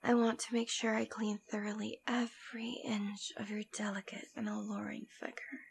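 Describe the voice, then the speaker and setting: A woman's voice: medium pitch, little pitch movement, moderate pace, vocal fry, slight background noise, close to an everyday voice. A woman playing a devoted, teasing demon queen in an ASMR roleplay, speaking intimately and tenderly, often dropping toward a whisper. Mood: sultry, hushed. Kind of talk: ASMR roleplay audio drama.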